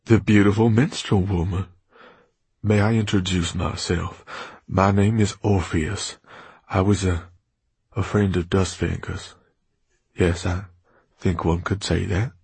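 A man's voice: low-pitched, steady tone, a little slow, creaky, long pauses, a little mellow, breathy edge, no room sound, exaggerated voice. A man voicing a grief-stricken, vain and theatrical bookish man who speaks in a dramatic, flowery way. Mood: smooth. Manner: dramatic, flowery, self-absorbed.

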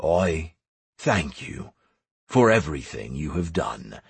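A man voicing a grave, humble emperor in a fantasy audiobook, measured and deferential. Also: extremely low pitch, very animated, creaky, slightly rough, dry recording, voice pushed down, full character voice. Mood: grateful. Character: new male emperor, grave, humble and grateful.

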